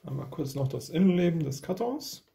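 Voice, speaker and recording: Gravelly-voiced man presenting an unboxing. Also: fairly high pitch, lively intonation, brisk, warm tone. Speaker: unboxing video host. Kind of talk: casual hands-on commentary. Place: indoor room at a tabletop.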